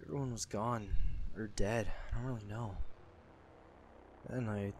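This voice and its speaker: A man playing a weary, guilt-ridden fallout survivor in an animated film, speaking in a subdued, hesitant way. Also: on the low side, a little slow, a little hiss or hum, close to an everyday voice. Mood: uneasy.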